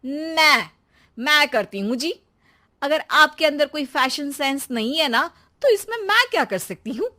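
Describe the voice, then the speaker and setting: A woman plays a vain mother-in-law in a comic family storybook tale. The voice sits high and snaps with haughty indignation. She scolds and huffs, quick to take offence over her looks. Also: lively intonation, bright, forceful tone, full character voice. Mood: huffy, defensive.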